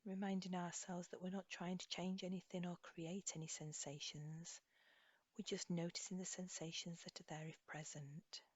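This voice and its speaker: A woman leading a guided body scan meditation as an instructor, speaking softly and soothingly in an unhurried way, with phrases trailing off breathily. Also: fairly low pitch, level tone. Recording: slightly dull, echoey room recording.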